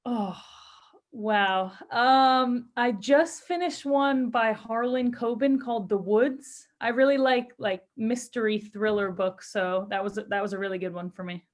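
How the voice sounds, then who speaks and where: A woman's voice: on the high side, a little slow. A young adult woman, a footballer, answering interview questions casually in an upbeat, earnest way. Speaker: professional footballer being interviewed.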